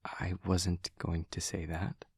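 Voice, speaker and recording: A man voicing an awkward, caring young demon classmate in an intimate audio roleplay, with soft husky voice and stilted, formal, hesitant phrasing. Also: deep voice, monotone, slow pace, no echo, full character voice. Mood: awkward, gentle.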